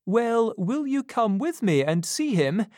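Dr Losberne, a woman doing a fussy excitable doctor. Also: a little high, slow, dry recording, studio quality, voice pushed up. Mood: fussing. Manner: exclaiming, fussing.

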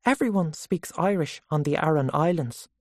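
A narrator voicing a sharp, teasing young nationalist woman with a challenging, questioning manner, in an audiobook story. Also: medium pitch, expressive, normal speed, dry recording, clean studio sound, voice pushed up, natural voice.

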